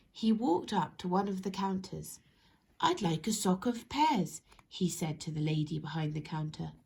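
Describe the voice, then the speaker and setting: A woman narrating a children's story expressively, in measured phrases that speak directly to young listeners. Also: on the low side, gravelly, darkish tone, breathy edge. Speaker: storybook reader.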